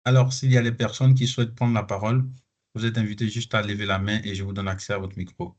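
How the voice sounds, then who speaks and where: A man moderating an online conference over a video call, polite and measured. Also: on the low side, level tone.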